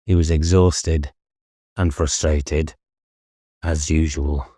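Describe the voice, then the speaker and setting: A man reading a novel aloud as an audiobook narrator, in a measured, dramatic storytelling manner. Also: a bass voice, fairly even intonation, warm tone, no echo, clean studio sound.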